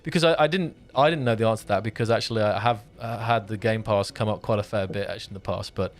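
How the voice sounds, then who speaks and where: A man's voice: on the low side, fast. A man hosting a casual livestream, reading out viewer questions and rambling as he repeats himself. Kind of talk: casual chat.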